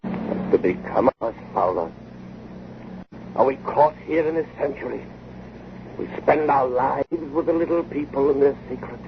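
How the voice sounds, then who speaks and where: A man's voice: medium pitch, moderate pace, long pauses, close to an everyday voice. An actor playing an intense, restless male inventor, with insistent theatrical delivery in an old-time radio drama. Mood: despairing. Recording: noisy, muffled vintage radio recording.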